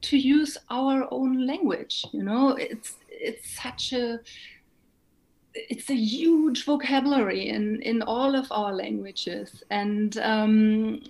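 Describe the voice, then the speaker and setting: A woman with a German accent speaks English as a guest in an interview. She talks slowly and carefully, building her ideas step by step. Her voice sits a little high for a woman. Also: creaky, low-quality recording. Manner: thoughtful, measured explanations with soft laughs. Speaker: guest, musician and language coach. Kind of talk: conversational interview.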